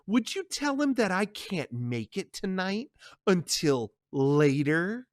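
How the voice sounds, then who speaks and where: A storyteller voicing a young mistress who speaks slowly and tauntingly on the phone. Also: mid-range voice, very animated, no echo, studio quality, voice pushed up. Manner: slow, taunting.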